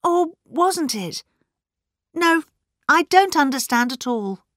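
A fluttery older lady in a storybook, performed by a woman narrator, pitched high and swooping all over. She rambles breathlessly and apologises as she dithers. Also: lively intonation, slow pace, fairly bright tone, dry recording, studio quality, full character voice. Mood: muddled, wondering. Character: flustered, chattering, apologetic older woman companion.

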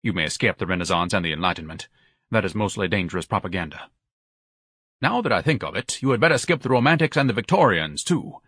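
Guy doing a pompous stern know-it-all man for an audiobook, big swoops, husky, super dry sound. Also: sits low, animated, quick pace, fairly bright tone, dry recording, exaggerated voice. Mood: solemn, lecturing. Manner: orator-like, stern, solemn, florid diction. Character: pompous, bombastic, scholarly man with grand political schemes. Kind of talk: audiobook character acting.

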